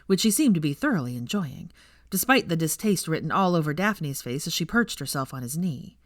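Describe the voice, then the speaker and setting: A woman reading a story aloud in an expressive scripted narration style. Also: low voice, fairly quick, no echo, clean studio sound. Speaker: fan fiction narrator.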